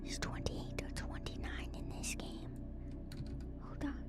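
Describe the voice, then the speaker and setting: A woman streamer speaking in a soft, breathy ASMR whisper, gentle and musing as she reads lore and comments casually, softly repeating phrases. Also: measured pace, loud music behind the voice. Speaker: streamer and host.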